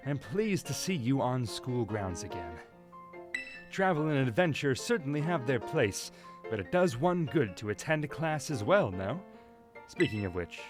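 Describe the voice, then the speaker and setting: Cartoon fan dub: male actor as polite, formal, dignified older headmaster, mid-pitched, lively intonation, music behind. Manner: formal, polite, measured wording.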